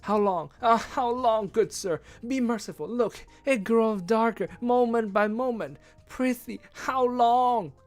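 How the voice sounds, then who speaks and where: Man acting a high, pleading storybook king. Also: unhurried, few pauses. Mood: frantic pleading. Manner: archaic, imploring, projected speech. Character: desperate pleading king, grand and courtly. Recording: music behind.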